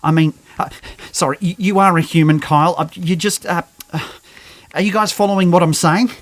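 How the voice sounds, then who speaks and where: A nerdy male scientist in an audio drama. His voice is fairly high, and he rambles hesitantly, stammering over words. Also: fairly even intonation, brisk, a bit bright. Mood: hesitant, thoughtful.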